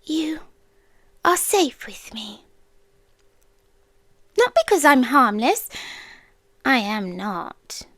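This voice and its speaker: Woman voicing an ancient British stalker girl, high and hushed. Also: sing-song, dry recording, exaggerated voice. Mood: soothing, menacing. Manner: hushed, deliberate, teasing, slipping into whispers. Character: ancient powerful inhuman stalker woman, possessive, tenderly menacing. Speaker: ASMR roleplay performer. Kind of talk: intimate ASMR roleplay, close and slow.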